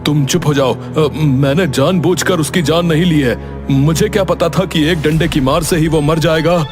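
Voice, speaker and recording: A man voicing a slow-witted but kindly village wrestler with a simple, plain-spoken manner in an animated folk tale. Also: on the high side, natural voice. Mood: remorseful. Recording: music behind the voice.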